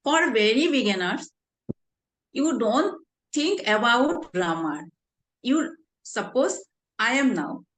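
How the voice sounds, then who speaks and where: A woman, asking questions in a casual practice chat, halting and searching for words. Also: fairly high pitch, big pitch swings, fairly bright tone. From India. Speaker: interviewer. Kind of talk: casual English practice conversation. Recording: echoey room.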